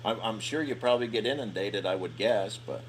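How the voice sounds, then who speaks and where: A man's voice: on the low side, brisk, slight background noise. A man working in construction, asking questions on a phone call and often restarting his sentences. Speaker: construction worker calling a safety agency.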